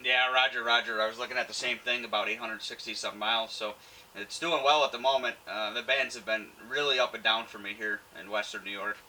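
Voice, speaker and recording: A man's voice: on the low side, steady tone, brisk, pushed and bright, a little hiss or hum, rough recording. A man talking informally as an amateur radio operator, friendly and using radio jargon in a casual on-air chat. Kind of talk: casual ham radio contact.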